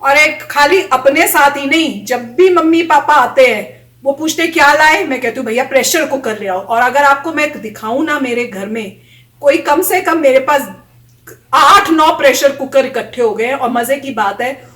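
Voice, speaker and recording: High-voiced woman telling a story. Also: moderate pace, a bit bright, recorded from a distance. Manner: chatty, tells anecdotes with quoted voices. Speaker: vlogger reacting to a video. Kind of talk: casual storytelling chat. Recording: echoey room, voice a little off mic.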